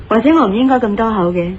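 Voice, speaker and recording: A woman playing a tactful, astute wife who reasons calmly in a Cantonese radio drama. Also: medium pitch, narrow, tinny audio, slight background noise, lightly acted.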